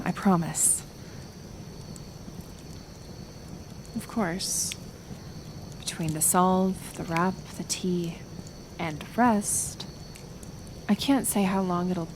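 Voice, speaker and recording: A woman playing a kind, stubborn healer of the woods in an intimate roleplay audio, speaking softly and hesitantly, with soothing pauses. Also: on the low side, gravelly, noisy background. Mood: caring, earnest. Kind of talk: roleplay audio acting, intimate one-sided dialogue.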